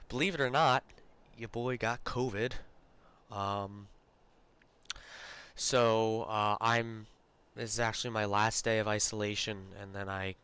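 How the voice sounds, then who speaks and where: A man's voice: fairly low pitch, sharp tone, clear, resonant tone. A man hosting a live stream, congested from illness, chatting casually with lots of fillers and restarts. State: congested, recovering from a cold. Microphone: close desk mic.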